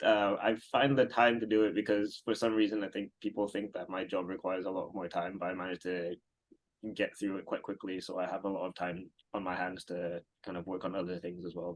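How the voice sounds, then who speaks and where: This is a man in his thirties, originally from Singapore, talking as an interview guest. His voice sits very low. He rambles at an easy pace, with plenty of ums and restarts. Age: 30s. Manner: relaxed, rambling, many fillers and restarts. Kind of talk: casual interview answers.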